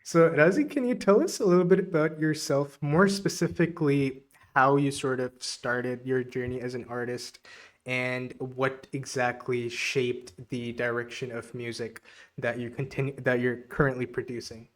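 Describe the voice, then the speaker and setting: A man's voice: mid-range voice, normal speed. A man from Pakistan, hosting a podcast and asking questions in a hesitant way, with many fillers. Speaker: podcast host. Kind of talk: podcast interview.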